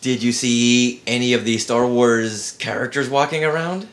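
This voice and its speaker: A man's voice: mid-range voice, fairly even intonation, moderate pace. A man hosting a casual podcast interview with a child, asking simple questions in an encouraging way and echoing answers back.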